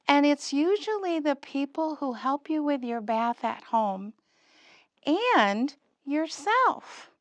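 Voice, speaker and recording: A woman's voice: on the high side, no echo, exaggerated voice. A woman voicing a friendly boy puppet in a children's show, earnestly asking simple questions and explaining things to young children.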